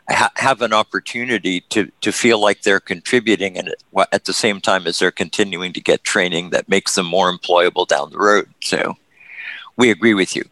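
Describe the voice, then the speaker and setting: A man hosting a call-in podcast, taking callers and quick to agree with them. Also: low voice, sharp tone, dry recording, poor audio. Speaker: host.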